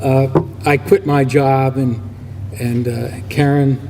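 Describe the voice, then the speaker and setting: Mellow man in his sixties, echoey hall. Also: mid-range voice, little pitch movement, gravelly. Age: 60s. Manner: halting, reflective. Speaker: donor speaking at a ceremony. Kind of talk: informal reflective speech. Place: large room, public event. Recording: echoey hall with background noise.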